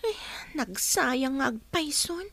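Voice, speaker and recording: A woman's voice: high voice, lively intonation, slow, gravelly, fairly bright tone, heavily acted. An actress with a Filipino accent playing a whiny, envious schoolgirl in a radio drama. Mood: envious, bitter. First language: Ilocano. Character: whiny, envious schoolgirl who shuns studying and chores.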